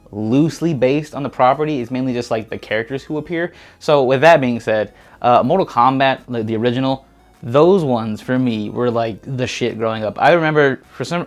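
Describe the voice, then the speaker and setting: A man's voice: medium pitch, a little fast. A man co-hosting a casual movie chat, talking in a laid-back, slangy, rambling way. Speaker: co-host. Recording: music playing behind. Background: background music.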